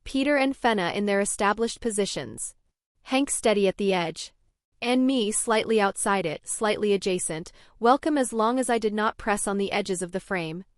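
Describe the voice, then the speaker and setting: A young adult woman from Norway, narrating her own story in a measured, even scripted way. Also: mid-range voice, dry recording, studio quality.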